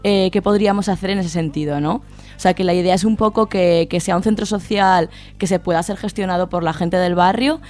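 A woman's voice: on the low side, brisk, darkish tone. A woman with an accent from Spain, an activist guest explaining things conversationally on radio, often checking in with tag questions. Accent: Spanish from Spain. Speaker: interview guest, neighbourhood activist.

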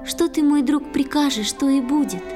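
A woman playing a gentle, devoted young water nymph bride, reciting tenderly in a poetic audio play for children. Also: high voice, normal speed, over music, lightly acted. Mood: pleading, submissive.

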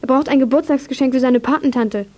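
A woman's voice: sits high, fast, darkish tone. A female performer playing an eager, helpful young girl in a children's radio play. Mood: polite, eager. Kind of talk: 1980s German children's radio play acting.

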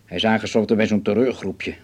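Radio drama part: guarded, fatherly older man, low husky voice, formal and measured. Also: steady tone, a little mellow. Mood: worried, guarded. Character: cautious, grave older German husband, fatherly and worried.

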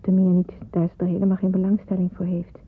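A earnest young woman in hiding in a radio drama. Her voice is very husky and breathy, and she speaks in a hesitant, searching way. The recording is thin and phone-like. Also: on the low side, monotone, fast. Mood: troubled, searching. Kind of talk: Dutch radio drama acting.

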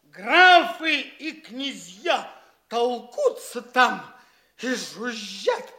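A man's voice: extremely high pitch, very animated, extremely slow, bright, forceful tone, exaggerated voice. An actor playing a boastful, foppish young man in a theatrical stage comedy, gushing and stammering over his own boasts. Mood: tipsy grandiose bragging.

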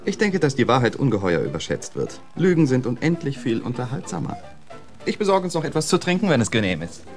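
An actor playing an urbane, witty poet in a radio play, suave and flowery with a dry humour. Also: male voice, medium pitch, sing-song, quick pace. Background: music.

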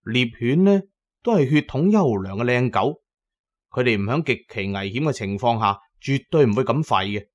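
A male audiobook narrator with a Cantonese accent and a mid-pitched voice. He tells an adventure dramatically, in a storyteller's delivery with colloquial turns. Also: lively intonation, moderate pace, highs cut off.

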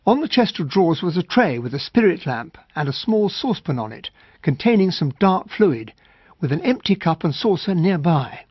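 Earnest English gentleman, Received Pronunciation, polite narrator, husky, radio drama, dry and muffled recording. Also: a little high, very animated, normal speed, no room sound. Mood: narrating. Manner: polite, earnest, well-spoken. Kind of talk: radio drama acting and first-person narration.

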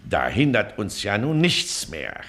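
A pompous baron and local magistrate in a radio drama. His pitch swings widely as he talks down to people, breaking off into self-important little hesitations and officious interjections. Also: huge pitch swings, a bit bright, heavily acted. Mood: probing. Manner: haughty, hesitant pauses, condescending interjections. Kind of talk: German radio play acting.

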